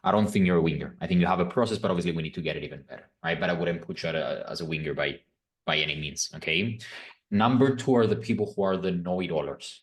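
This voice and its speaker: A man with a Greek accent leads a sales call, steering the prospect with question after question and tag checks. His voice sits very low and moves fast. The room around him rings with echo. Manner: coaching, leading questions with frequent "right?" checks. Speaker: sales closer.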